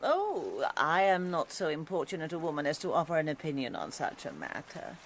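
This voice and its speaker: An actress playing a coolly deferential Count's wife in a period radio drama, formal and mannered. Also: female voice, low voice, very animated, fairly quick, slight background noise. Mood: icily deferential.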